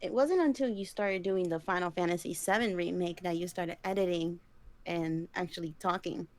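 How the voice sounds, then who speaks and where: A woman's voice: lowish voice, moderate pace, darkish tone. A woman co-hosting a podcast, chatting casually and peppering her talk with "like". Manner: conversational, frequent "like". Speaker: podcast co-host. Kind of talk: casual chat.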